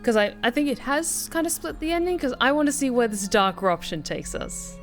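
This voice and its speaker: A woman's voice: on the high side, big pitch swings, fairly quick, faint music behind. A woman live-streaming a game, giving casual running commentary.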